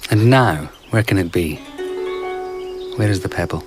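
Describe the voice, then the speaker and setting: An actor playing a charming male conjurer and traveller in a radio drama, telling tales in a hushed, showman-like way. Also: sits low, measured pace, music in the background. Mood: theatrical.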